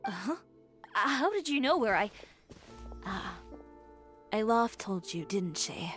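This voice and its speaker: A woman's voice: medium pitch, big pitch swings, takes it slowly, fairly bright tone, faint music behind. A woman playing a poised, assertive young fashion designer in a video game, speaking in a composed, confident, wry way. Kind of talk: video game character dialogue.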